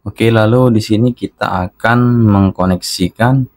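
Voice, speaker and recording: A man's voice: lowish voice, measured pace, a little mellow, dry recording. A man narrating a casual hands-on product tutorial, talking instructively to his viewers. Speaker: product reviewer.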